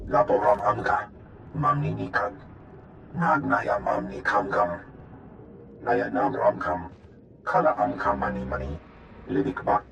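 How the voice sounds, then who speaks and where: An actor playing a male alien in a video game, speaking an invented tongue with a guttural, gravelly voice. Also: fairly low pitch, a little slow, pauses often, fairly bright tone, echoey room, muffled recording, a little hiss or hum, heavily acted.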